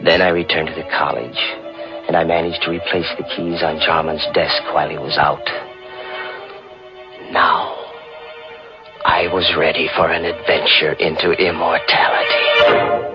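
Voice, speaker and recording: A man's voice: sits low, level tone, a little slow, long pauses. An actor playing a cold, scheming young man who narrates his own crime in 1940s radio style. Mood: brooding narration. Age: young adult. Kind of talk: 1940s radio acting, first-person narration. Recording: dull old radio broadcast with music.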